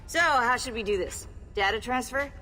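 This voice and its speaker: A woman playing a brisk, wry and friendly courier in a video game scene. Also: fairly high pitch, pushed and bright. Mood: teasing, businesslike. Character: brisk, teasing female courier. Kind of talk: video game cutscene acting. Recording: long reverberant hall, faint noise.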